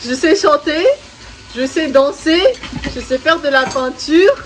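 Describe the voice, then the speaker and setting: A woman's voice: high-pitched, measured pace, a bit bright, slight background noise, rough recording. A woman in her twenties from France chatting casually while she cooks, rambling with lots of fillers. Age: 20s. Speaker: guest cook. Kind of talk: casual cooking vlog. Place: home kitchen.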